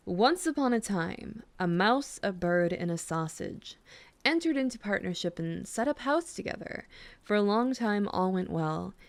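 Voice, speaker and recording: A woman speaking softly and soothingly in an intimate, gently teasing ASMR bedtime roleplay, close to the microphone. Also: medium pitch, lively intonation, a little slow. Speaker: ASMR roleplay performer playing a girlfriend. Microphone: close microphone, dry sound.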